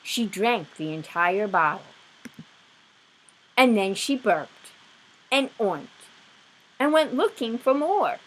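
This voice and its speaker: A woman reading a children's story aloud with expressive, deliberate narration. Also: medium pitch, low-quality recording. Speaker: storybook reader.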